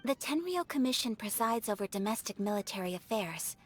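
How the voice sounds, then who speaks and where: A woman playing a refined, thoughtful young noblewoman in an anime game, speaking in a measured, composed and formal way. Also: mid-range voice, big pitch swings, slight background noise, lightly acted. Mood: pensive. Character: poised, refined young noblewoman, thoughtful strategist, gently serious. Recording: background music under the voice. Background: music.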